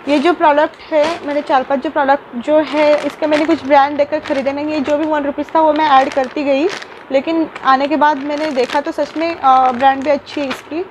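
Young woman vlogger, high-pitched, chatty with fillers and restarts, close phone mic in a small room. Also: little pitch movement, a little fast, background noise. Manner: chatty, many fillers and restarts, mixes English words into Hindi. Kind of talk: casual unboxing vlog.

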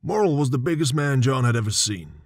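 A man's voice: mid-range voice, lively intonation, brisk, dry recording, studio quality. Man narrating a radio western. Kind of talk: scripted narration.

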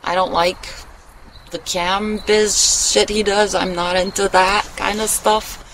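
A woman's voice: mid-range voice, flat delivery, slow, a bit bright, rough recording. Rambling, candid woman vlogger over outdoor noise. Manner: rambling, candid, swears casually. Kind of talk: casual confessional chat to camera. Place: outdoors in a wooden shelter in the woods. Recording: clear outdoor ambient noise.

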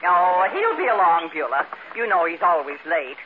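A woman's voice: lowish voice, big pitch swings, moderate pace, a crowd in the background. A woman playing an amiable lady of the house in 1940s radio comedy. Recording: tinny 1940s radio, audience behind.

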